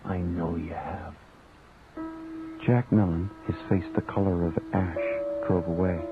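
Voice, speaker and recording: Deep-voiced brooding cab driver, tinny radio. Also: male voice, fairly even intonation, a little slow, music in the background. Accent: Canadian. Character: brooding cab driver, protective, angry husband. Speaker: lead actor and narrator. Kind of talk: naturalistic radio drama with first-person narration. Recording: tinny, band-limited old radio recording, faint noise.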